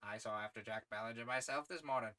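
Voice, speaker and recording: Man acting hearty English aristocrat, storybook adventurer, bright forceful voice, jaunty slangy phrasing. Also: medium pitch, fast, slight background noise, close to an everyday voice. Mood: jovial, confiding. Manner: jaunty, clipped slangy upper-class phrasing.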